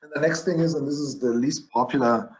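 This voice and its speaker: A man's voice: on the high side, brisk, lo-fi sound. A man with a German accent, a physician lecturing on diagnosis over slides, explaining step by step with frequent restarts and fillers.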